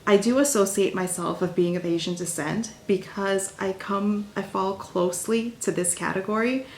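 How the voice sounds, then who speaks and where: A woman's voice: mid-range voice, normal speed, a little hiss or hum. A woman from Guyana hosting her own channel, talking to viewers in a casual, upbeat, chatty way with small fillers. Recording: echoey room.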